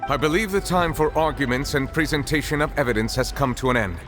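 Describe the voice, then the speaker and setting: An actor playing a grave, formal chief justice in a video game, solemn and measured, his voice ringing in a large hall. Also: on the high side, a bit bright, natural voice. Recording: long hall reverb, faint music.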